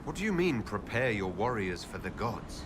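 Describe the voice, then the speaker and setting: A man playing a composed, curious elven leader in a fantasy game, asking questions in a measured, wry way. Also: on the low side, sing-song. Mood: questioning. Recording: clear ambient noise behind voice.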